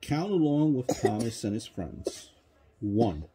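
A man's voice: mid-range voice, very animated, slow pace, dark tone, breathy edge. A man reading a children's counting book aloud to a child, patiently prompting with questions. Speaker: parent reading a bedtime story to a child. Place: small child's bedroom, indoors.

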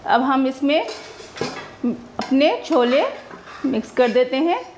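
A woman's voice: high-pitched, slow pace, noisy background. A woman narrating her own cooking video step by step, chatty and homely, explaining each step as she cooks in her home kitchen. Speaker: cooking vlogger.

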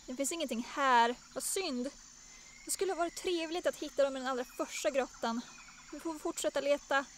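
High-pitched earnest lion cub, voiced by a woman. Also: slight background noise, natural voice. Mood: curious. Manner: childlike, earnest. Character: young lion cub prince, earnest and kind. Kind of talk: cartoon fan dub acting. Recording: music behind the voice.

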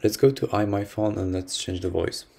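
Deep-voiced young man presenting a tutorial. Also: normal speed, gravelly. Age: young adult. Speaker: software demo host. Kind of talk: upbeat scripted tutorial vlog to camera. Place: small indoor attic room at home.

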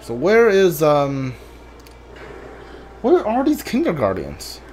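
High-pitched man casually commenting on gameplay. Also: wildly swooping pitch, slow pace, a little mellow, slight background noise. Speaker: gameplay streamer.

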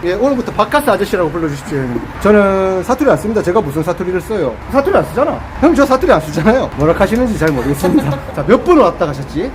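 Lively man chatting outdoors, high voice. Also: fast talker, few pauses. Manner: playful, animated, joking to viewers. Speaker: vlogger. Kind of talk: casual outdoor vlog chat. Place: outdoors under a roof by a roadside rest area. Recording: outdoor noise behind.

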